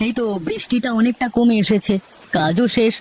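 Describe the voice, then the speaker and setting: A woman's voice: a little high, a little fast, darkish tone, a little hiss or hum, close to an everyday voice. A woman voicing a gossipy young village man in a cartoon. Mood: cheerful. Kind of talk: cartoon voice acting.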